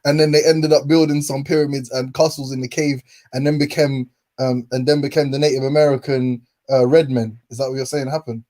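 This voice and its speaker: A man's voice: medium pitch, little pitch movement, a little fast, few pauses, dark tone. A young man, hosting a casual chat and teasing his guest with laughing, slangy banter. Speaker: host.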